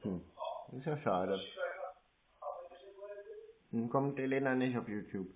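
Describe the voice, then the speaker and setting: A man's voice: mid-range voice, slow pace. A laconic man chatting casually on a phone call, giving short replies. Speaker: YouTuber. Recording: narrow, phone-like sound.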